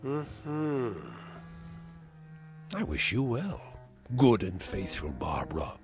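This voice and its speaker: An actor playing a spiteful, frail old uncle in a vintage television drama, speaking in ornate, sardonic, theatrical phrases. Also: male voice, mid-range voice, big pitch swings, slow pace, faint music behind. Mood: sardonic, mocking. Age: older.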